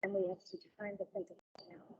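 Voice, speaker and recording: A woman's voice: medium pitch, flat delivery, a little fast, dark tone. A woman who is a business association member, talking informally in a meeting discussion on a muffled recording.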